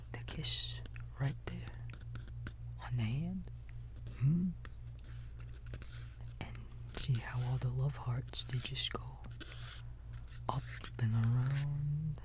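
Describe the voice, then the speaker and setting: A man playing a gentle, big-brotherly raccoon babysitter in a bedtime ASMR roleplay, reading a storybook in a soft, breathy whisper with simple, tender asides. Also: mid-range voice. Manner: tender, slow, folksy, childlike simple wording.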